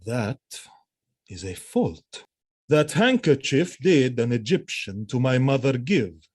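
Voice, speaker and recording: An actor playing a jealous, vengeful military commander in a Shakespearean audio drama, theatrical and brooding. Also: male voice, mid-range voice, big pitch swings, slow pace, slightly rough, exaggerated voice. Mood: brooding, suspicious. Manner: theatrical, brooding, erupting into shouts.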